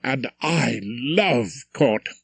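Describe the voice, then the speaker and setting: An enthusiastic young woman barrister, put on by a male reader. The reader lifts his pitch well up to play her. The recording is dry and close. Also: big pitch swings, moderate pace, fairly bright tone, no echo. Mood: eager.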